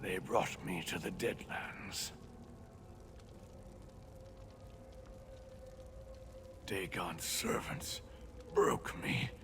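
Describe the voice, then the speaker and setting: An actor playing a mad, blind old prisoner, a sorrowful former priest in a fantasy video game, speaking breathily and haltingly in formal, archaic phrases. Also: male voice, sits low, noisy background. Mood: haunted, sorrowful. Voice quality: breathy, gravelly, wavering. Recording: game music under the voice.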